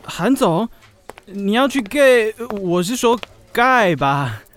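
A harried, dutiful office assistant in a radio drama. His voice is fairly high, polite and fussy, over faint noise and typing clicks. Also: very animated, slow, fairly bright tone, heavily acted. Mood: flustered. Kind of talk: Mandarin radio drama acting.

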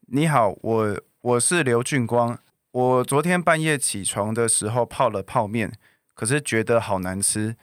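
A young man with a Taiwanese accent acting a shy, earnest, halting student in an audio drama. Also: mid-range voice, dry recording, studio quality, lightly acted. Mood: awkward, earnest. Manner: halting, awkward. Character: shy, awkward, earnest lovesick male student.

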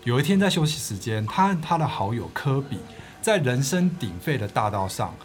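A man's voice: medium pitch, sing-song, normal speed, soft background music. A man co-hosting a book podcast, reading scripted narration as a storyteller.

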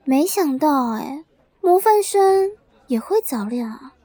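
Woman acting a high-voiced, catty schoolgirl bully. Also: animated, slow, warm tone. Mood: teasing. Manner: taunting, sing-song sneering. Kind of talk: radio drama acting.

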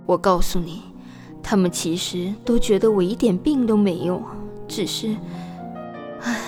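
A woman acts as a young wife of the nineteenth century, uneasy and melancholy, confiding in a radio play. Her voice sits at a medium pitch. Music and birdsong run behind her. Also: a little slow, natural voice. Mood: troubled confiding. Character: anxious, melancholy young 19th-century wife, stifled writer. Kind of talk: Mandarin radio drama acting.

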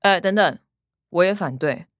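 An actress playing a curt, scornful young adult elder sister in a radio drama, with a Taiwanese accent. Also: female voice, on the low side, normal speed, no room sound, studio quality, close to an everyday voice. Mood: scornful, angry. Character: sharp-tongued adult elder sister, a bank professional.

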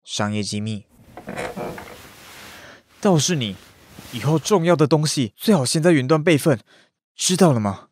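A man's voice: on the high side, lively intonation, measured pace, long pauses, darkish tone, slightly rough. An actor playing a reserved, teasing young male design student in an audio drama, with a Taiwanese accent. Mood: dry, teasing. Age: young adult. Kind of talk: audio drama acting with narration.

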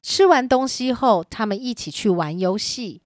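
A woman narrates a scripted children's tale. The voice sits a little high and rises and falls expressively. The recording is dry, with very little room in it. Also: lively intonation, normal speed, no echo.

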